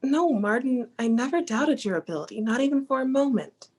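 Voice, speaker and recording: A woman acts a gentle companion in a 1940s radio drama. The voice is somewhat high, and the delivery is soothing, earnest and reassuring. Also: lively intonation, fairly quick, slightly rough. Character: Helen, gentle, reassuring companion of troubled musician.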